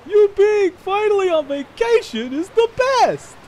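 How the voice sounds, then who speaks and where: A woman voicing a bubbly, teasing younger skeleton brother, playful and excitable, for a comic dub. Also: very high voice, measured pace, exaggerated voice. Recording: faint background noise.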